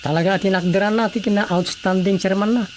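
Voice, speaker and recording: Man acting a chummy, high-pitched Ilocano villager. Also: fairly even intonation, fast, slight background noise, natural voice. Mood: eager. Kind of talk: Ilocano radio comedy drama acting.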